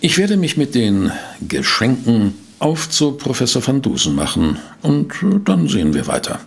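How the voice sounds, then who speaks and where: An actor playing an eager, shrewd male investigator in a German audio drama, with a gravelly, husky voice and expressive delivery. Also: medium pitch, moderate pace, exaggerated voice. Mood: evasive.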